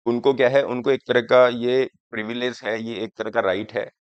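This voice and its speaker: A man in his thirties teaching an exam prep class, with a hoarse throat from a cold, slowly explaining each point and checking that students follow. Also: medium pitch, fairly even intonation, no echo. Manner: explaining slowly, often asking students if it is clear. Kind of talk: lecture on current affairs, Hindi mixed with English. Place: studio classroom, in front of a screen. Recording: dry, slightly dull sound.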